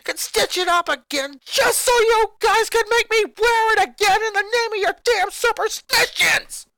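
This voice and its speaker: A woman voicing an angry, resentful young boy who rants and shouts at his family. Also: extremely high pitch, normal speed, creaky, no echo, voice pushed up, heavily acted. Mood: furious. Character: furious boy, eleven-year-old, bitter and resentful.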